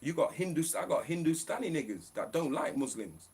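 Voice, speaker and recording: A man's voice: on the high side, sing-song, brisk, a little mellow, slightly rough. A man ranting on a live stream, in a profane, preachy, fired-up way.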